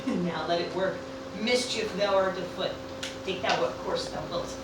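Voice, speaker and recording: A woman's voice: on the low side, moderate pace, natural voice. A woman playing an impassioned funeral orator in a declamatory amateur Shakespearean radio play. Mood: sly, grave. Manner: declamatory, theatrical. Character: impassioned funeral orator stirring a mourning crowd. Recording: very echoey, distant, noisy live room.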